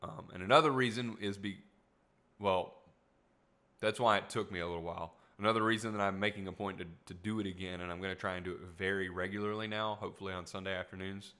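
A man's voice: low-pitched, steady tone, quick pace, sharp tone. A young man hosting a casual solo podcast in a small room at home, talking in a rambling, reflective way with many fillers, his voice a little tired. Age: young adult. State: voice tiring. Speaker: podcast host. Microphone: studio mic in front of him.

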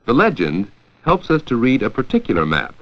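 An American man narrating a 1940s educational film from a script, with a clear, measured storytelling delivery. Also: medium pitch, huge pitch swings. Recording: dull, lo-fi 1940s 16mm film soundtrack.

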